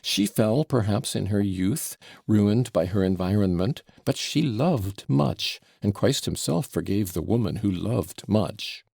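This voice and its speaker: A man voicing a blustering old father who yells and splutters theatrically. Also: deep voice, lively intonation, moderate pace, hardly any pauses, dry recording. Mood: ranting.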